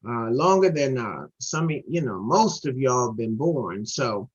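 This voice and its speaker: A middle-aged woman shares her recovery story in a husky, earnest voice. She circles back on her words as she builds up speed. It comes through dull, lo-fi video call audio. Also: rumbling low, lively intonation, measured pace. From Trinidad and Tobago. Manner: earnest, repeats words while building momentum. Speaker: guest speaker at a recovery meeting.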